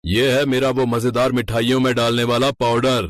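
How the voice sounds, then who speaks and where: A man playing a greedy, scheming, boastful village sweet-shop owner in an animated moral story. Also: medium pitch, normal speed, no echo, studio quality, lightly acted. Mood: gloating. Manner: gloating, dismissive, boastful.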